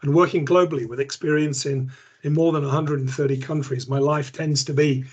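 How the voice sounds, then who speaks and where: British-born guest on a call, bit higher husky voice, really emphatic. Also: male voice, a little fast, muffled recording. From United Kingdom. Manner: animated, emphatic, astonished. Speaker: guest safety expert. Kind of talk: interview answer.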